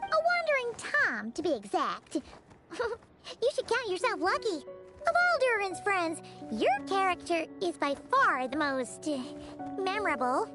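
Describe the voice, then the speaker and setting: Very high, cheeky fairy companion. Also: female voice, sing-song, a little slow, music in the background, exaggerated voice. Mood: teasing. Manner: teasing, exclaiming. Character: small cheeky childlike fairy companion, teasing. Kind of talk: animated video game voice acting.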